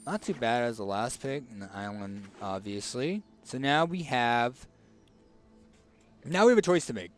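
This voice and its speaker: A man with a raspy, hoarse voice casually commenting on a card game as he picks cards, thinking aloud with fillers and restarts. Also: mid-range voice, lively intonation, normal speed, faint music behind. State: hoarse, tickly throat. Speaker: streamer.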